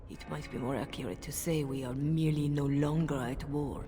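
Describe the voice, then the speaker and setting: Woman acting a grave churchwoman, deep female voice, measured, formal, principled, fantasy game, music underneath. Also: steady tone, mellow, in a large hall, close to an everyday voice. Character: grave, principled churchwoman who guards secrets.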